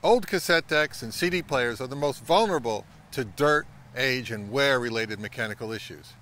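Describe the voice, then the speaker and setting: A middle-aged man giving a measured, instructional talk to camera as a vlog host, recorded outdoors. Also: medium pitch, fairly bright tone. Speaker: vintage audio channel host. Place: outdoors by a pond and on a wooded trail.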